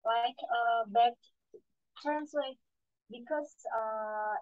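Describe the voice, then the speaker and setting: A woman's voice: a little high, extremely slow, no echo. A young woman with an Indonesian accent, a student answering speaking-test questions over a headset on a video call, hesitant and full of fillers and repeated words. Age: young adult. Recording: dry, phone-like call audio.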